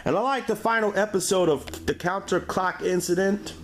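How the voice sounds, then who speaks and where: A man giving a casual, unscripted review as host, speaking haltingly and stumbling over some of his words. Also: high-pitched, slight background noise. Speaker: DVD reviewer, show host.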